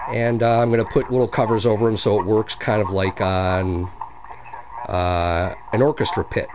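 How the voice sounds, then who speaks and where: A man with a fairly low voice talks through a hobby project in a casual, plainspoken way. He explains things step by step, like someone showing off home-built work. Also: steady tone, moderate pace, noisy background. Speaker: hobbyist restorer of antique radios. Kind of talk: casual demonstration narration.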